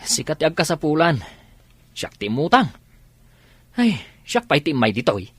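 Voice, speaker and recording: Animated Ilocano working guy, radio drama buddy, higher-pitched, full of interjections. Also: moderate pace, slightly rough, no echo. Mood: grudging. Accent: Ilocano Filipino.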